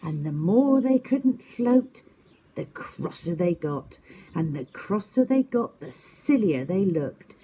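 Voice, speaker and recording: A woman's voice: lowish voice, very animated, slow, warm tone, husky. A woman narrating a children's story expressively, as a teacher does at story time.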